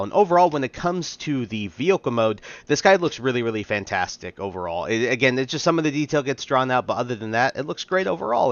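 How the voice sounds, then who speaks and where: A man's voice: lowish voice, a bit bright, dry recording, muffled recording. A man with a slightly nasal, congested voice hosting a casual toy review at home, chatty and upbeat. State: congested, getting over a cold. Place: home recording room.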